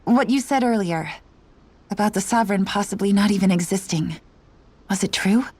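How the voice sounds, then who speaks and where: A woman's voice: medium pitch, moderate pace, a little mellow, slightly rough, lightly acted. An actress playing an earnest, outspoken and proper young noblewoman in a video game. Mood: subdued.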